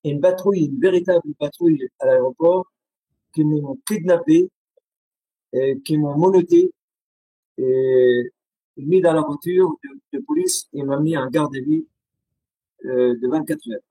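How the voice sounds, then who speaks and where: A man from Syria with a Syrian accent, Arabic his first language, speaking haltingly with many pauses and restarts as an interview guest on a video call. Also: a little high, warm tone, husky, no room sound, rough recording.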